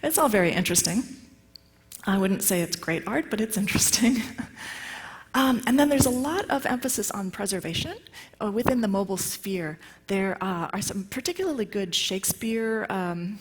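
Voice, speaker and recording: A soft-spoken woman from the United States speaks at a conference in a chatty, friendly way, often restarting her sentences around small fillers. Her voice sits at a middle pitch. A long hall reverb trails behind every phrase. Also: animated, normal speed. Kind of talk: conference talk.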